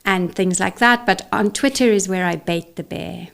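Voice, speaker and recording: A woman's voice: fairly low pitch, moderate pace, gravelly, a bit bright. A woman from South Africa whose first language is Afrikaans, speaking as an interview guest in an outspoken, emphatic way with frequent restarts. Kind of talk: interview conversation.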